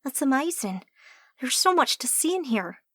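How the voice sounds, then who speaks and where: A woman's voice: high-pitched, very animated, moderate pace, bright, forceful tone, no room sound, clean studio sound, pitched above the performer's own voice, exaggerated voice. A woman voicing a young, plain-spoken woman, a new apprentice. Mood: curious.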